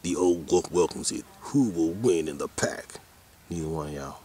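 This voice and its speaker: A low, deep male voice around forty talks off the cuff in a loose, folksy ramble. It is a casual vlog recorded outside, with noticeable ambient noise around him. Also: normal speed, warm tone. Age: about forty. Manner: folksy, colloquial, drifting into asides to his dogs. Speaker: vlogger. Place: outdoors on a hike. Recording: noisy outdoor ambience.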